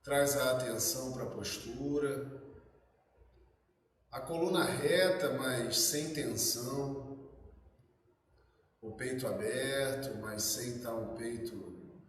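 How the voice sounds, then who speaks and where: A man with a Brazilian Portuguese accent leads a guided meditation from some distance in a very echoey room with long reverb. He speaks slowly, in short, measured instructions, calm and at a medium pitch.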